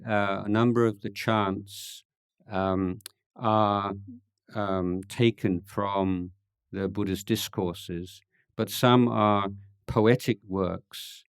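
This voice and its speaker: Monk with a very low voice doing a q&a, lots of ums, dry close sound, bit of dry humor. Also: male voice, clear, resonant tone, no echo. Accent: English. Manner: thoughtful, hesitant, many ums and restarts. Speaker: Buddhist monk answering questions. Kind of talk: relaxed Dhamma Q&A talk. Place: meditation retreat hall.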